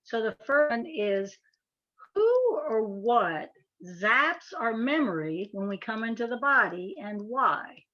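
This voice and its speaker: A woman's voice: a little high, very animated, slow, a bit bright, lo-fi sound. A woman caller with a light Southern accent mixed with New Jersey, asking earnest, slightly hesitant questions. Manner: earnest, a bit hesitant, repeats words. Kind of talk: call-in question.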